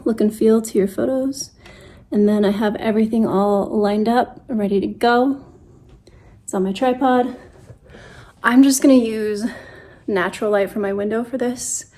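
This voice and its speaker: Higher-pitched woman, casual vlog chat. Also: a little mellow. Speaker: photographer making self-portraits. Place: dining room at home.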